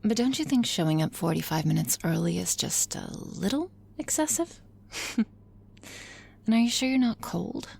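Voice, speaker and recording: A woman playing a teasing, cynical, flirtatious boss in an intimate ASMR roleplay, sardonic and often slipping into breathy whispers. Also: medium pitch, expressive, normal speed, darkish tone, heavily acted. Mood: teasing, caring.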